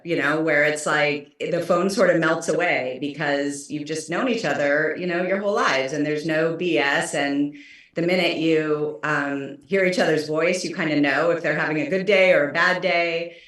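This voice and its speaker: A woman's voice: low voice, normal speed, fairly bright tone, heavy reverb, recorded from a distance. A woman actor chatting on a video call, answering thoughtfully with many ums and you knows. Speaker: actor guest. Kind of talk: casual video call chat.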